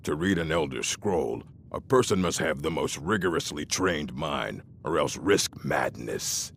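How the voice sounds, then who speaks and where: An actor voicing a stern, grumpy old orc librarian in a fantasy game, gruff and contemptuous, with a gravelly voice. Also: male voice, very deep voice, lively intonation, unhurried, slight background noise, full character voice. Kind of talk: fantasy video game voice acting.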